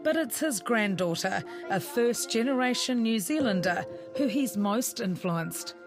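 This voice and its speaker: A woman reporter delivers scripted news narration with an even, clear delivery. Her voice sits a little high. A music bed plays clearly beneath her. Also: measured pace. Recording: music bed under voice-over.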